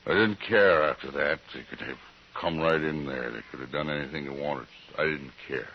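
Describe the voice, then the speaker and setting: Very deep, gravelly, weary homesteader. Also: male voice. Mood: grieving, numb. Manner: plain rustic speech, halting, subdued. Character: gaunt weary frontier homesteader, grieving, resigned, guilt-haunted. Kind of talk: old-time radio western acting. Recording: dull, hissy old radio recording.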